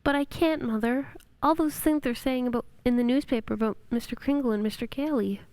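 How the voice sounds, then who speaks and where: A woman's voice: on the high side, brisk, darkish tone, no room sound, natural voice. A female performer playing an earnest, kind-hearted young girl in an amateur radio play. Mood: earnest, worried.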